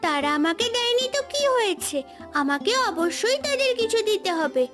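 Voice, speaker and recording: A woman's voice: very high voice, big pitch swings, moderate pace, over music. A woman voicing a sweet, kind-hearted young village girl in a cartoon. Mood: sweet, earnest. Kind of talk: cartoon voice acting.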